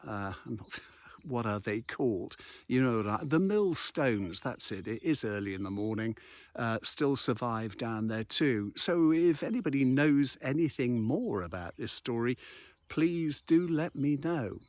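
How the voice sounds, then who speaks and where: Male local history walking guide, medium pitch, conversational storyteller, recorded outdoors with a dry, close sound. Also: lively intonation, measured pace, no room sound. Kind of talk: unscripted outdoor narration, local history vlog. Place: outdoors on a misty hillside road.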